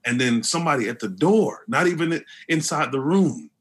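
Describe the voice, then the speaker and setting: A man with a deep voice, speaking earnestly as an interview guest, often restarting his sentences. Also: animated, a little fast, fairly bright tone, breathy edge. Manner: earnest, with many restarts and fillers. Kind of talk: conversational interview.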